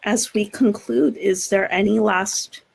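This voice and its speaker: A woman moderating a live online discussion, speaking in a halting but effusive way. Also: mid-range voice, steady tone, gravelly, husky, poor audio. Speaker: event moderator.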